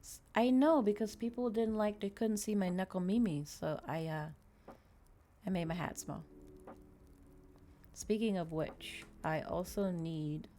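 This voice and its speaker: A woman's voice: medium pitch, a little slow, long pauses. A woman hosting an ASMR livestream, chatting casually and reading aloud in a soothing way. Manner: soft-spoken, soothing when reading. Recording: clear background noise, fireplace crackle ambience. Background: fireplace crackles and quiet ambient music.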